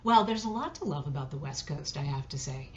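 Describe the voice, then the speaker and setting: A woman's voice: low voice, lively intonation, brisk. A middle-aged American woman chatting casually as a podcast guest, often checking in with "right?". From suburbs of Philadelphia, United States. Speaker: podcast guest, dating coach. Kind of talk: casual conversational interview. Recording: muffled, clearly noisy connection.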